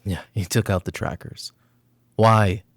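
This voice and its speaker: Male actor as stern mafia patriarch, middle-aged, very deep and slow, menacing, for roleplay audio drama with background ambience. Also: steady tone, no echo, natural voice. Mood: stern, angry. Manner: commanding, deliberate, menacing. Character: stern, controlling mafia boss and protective father. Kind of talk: roleplay ASMR acting.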